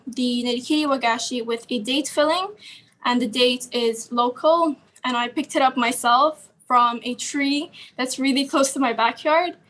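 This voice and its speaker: A young woman from China, a contestant, explaining her dish over a video call in a hesitant way with many pauses. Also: on the high side, fairly bright tone.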